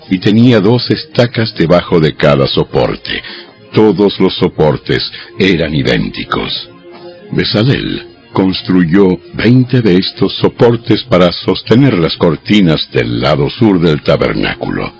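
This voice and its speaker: A man reading a dramatized Bible narration in a measured, solemn storytelling manner. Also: very deep voice, vocal fry. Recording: dull lo-fi audio over music. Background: music.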